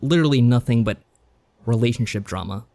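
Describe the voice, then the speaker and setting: A man's voice: medium pitch, fairly quick, dark tone. A man co-hosting a podcast, talking through his review in a casual, conversational style. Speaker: podcast co-host.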